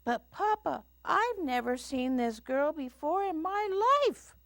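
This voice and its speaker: Woman as a squeaky, whiny baby bear. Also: lively intonation, slow, dry recording, studio quality, full character voice. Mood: protesting. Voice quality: high babyish voice. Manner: whiny, protesting. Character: whiny young male baby bear, eager to please.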